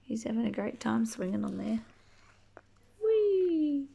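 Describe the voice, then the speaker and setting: A woman reading a rhyming children's book aloud expressively, drawing out key words and chatting gently to young listeners. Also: fairly high pitch, vocal fry, husky. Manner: animated, drawn-out key words, gentle questioning asides. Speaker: storybook reader reading to children.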